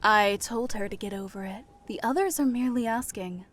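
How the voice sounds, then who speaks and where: A woman playing a teasing, playful goddess with a silky, husky delivery in an audiobook drama. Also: mid-range voice, brisk, slight background noise, natural voice. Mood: matter-of-fact. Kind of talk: audiobook character acting.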